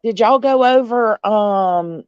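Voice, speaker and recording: A woman's voice: on the high side, slow, darkish tone, clear, resonant tone, no room sound. A woman chatting casually as a caller on a livestream panel, heard over lo-fi voice chat audio.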